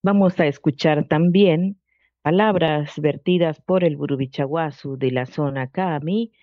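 A man reads a formal news voice-over at a slightly raised pitch. The sound is tinny and narrow, like a phone line, and dry with no room around it. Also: dry recording. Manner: formal and measured. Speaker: news reporter.

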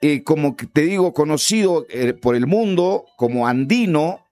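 A man's voice: on the high side, animated, normal speed, darkish tone, faint music behind. A man from Bolivia with a Bolivian accent, hosting an interview, friendly and enthusiastic. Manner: friendly, enthusiastic, calls guest brother. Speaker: interviewer host. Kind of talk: interview conversation.

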